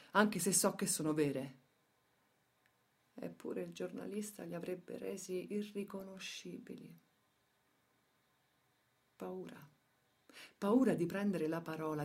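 Low-voiced woman in dramatic narration. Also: darkish tone. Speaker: stage actress and narrator. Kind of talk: theatrical scripted narration for radio theatre.

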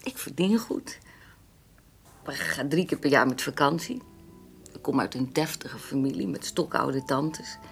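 A woman's voice: rumbling low, animated, unhurried, vocal fry, faint music behind, heavily acted. An actress playing a frank, persuasive country woman in a radio play, confiding and insistent in plain words. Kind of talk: naturalistic radio play dialogue.

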